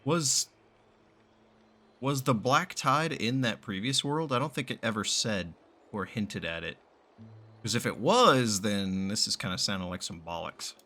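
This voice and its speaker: A man's voice: on the low side, animated, unhurried, bright, forceful tone. A man giving casual, informal reaction commentary as he plays a game, with joking, sweary phrasing. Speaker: gaming streamer reacting to a story quest. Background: game music.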